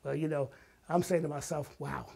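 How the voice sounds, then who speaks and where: A man's voice: on the high side, fairly even intonation, normal speed, creaky, darkish tone, husky. An older man, an interview guest, telling personal stories in a dramatic, emphatic way and acting out quotes. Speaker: interview guest, retired artist and playwright. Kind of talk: animated personal storytelling.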